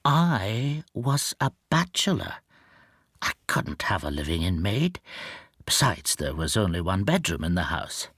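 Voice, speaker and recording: A low male voice, dry and very close, with lively swings in pitch. a gentle, self-effacing middle-aged husband in a radio play, halting and anxious as he confides. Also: breathy edge, no echo, heavily acted. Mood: subdued, confiding. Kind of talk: radio drama acting with intimate first-person narration.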